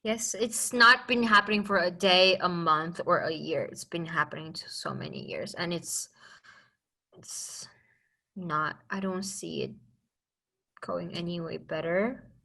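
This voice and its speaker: A young woman from China talks as a podcast guest, earnest and open. Her voice is bright and forceful, and she often breaks off and restarts a thought. It is casual interview talk, not a rehearsed speech. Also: on the low side, expressive, measured pace, gravelly.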